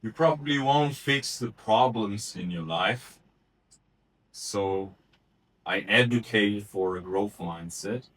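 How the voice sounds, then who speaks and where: A man giving a short unrehearsed practice speech in a measured, deliberate way with occasional fillers. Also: on the low side, a bit bright, poor audio. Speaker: speaker giving a practice talk.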